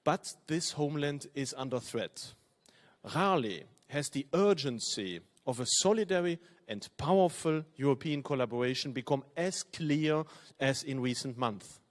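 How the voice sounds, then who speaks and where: A man from Belgium whose first languages are German and French, giving a measured, formal opening address as panel chair. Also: medium pitch. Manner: measured, formal, reads from a text. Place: conference hall.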